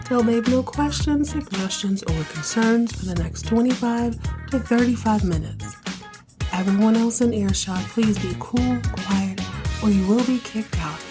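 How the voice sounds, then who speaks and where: A woman in her thirties from the United States hosting a soap opera recap podcast, speaking in a hushed, half-whispered way because she has partly lost her voice. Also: fairly high pitch, measured pace, over music. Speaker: podcast host.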